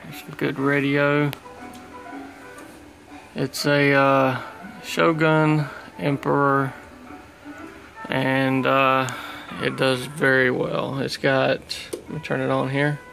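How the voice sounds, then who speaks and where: Flat-voiced man, slow and gravelly. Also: medium pitch, faint music behind, low-quality recording. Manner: halting, plain-spoken. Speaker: radio hobbyist showing his equipment. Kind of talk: casual unscripted gear review. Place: small indoor room at home.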